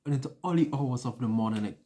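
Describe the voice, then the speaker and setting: Young guy vlogging about his life, soft mellow voice, chatty, acts out bits as he tells them. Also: medium pitch, fast. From an island. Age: young adult. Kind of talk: casual personal storytelling to camera.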